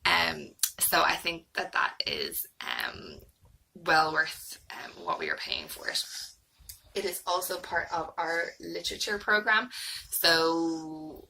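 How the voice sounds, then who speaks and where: A woman's voice: low voice, very animated, slow pace, gravelly, pushed and bright, slight background noise, low-quality recording. An Irish woman in her thirties giving a casual, hesitant, rambling review, like a homeschooling mom vlogging.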